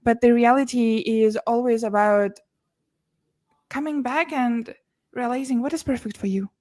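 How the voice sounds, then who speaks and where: A young woman who grew up in Russia and speaks English as a second language, her voice sitting a little high. She talks earnestly in a relaxed interview, often restarting words and laughing softly between them. The sound is close and dry, with no room around it. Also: normal speed, no room sound. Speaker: podcast guest, business and mindset mentor. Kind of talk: casual interview conversation.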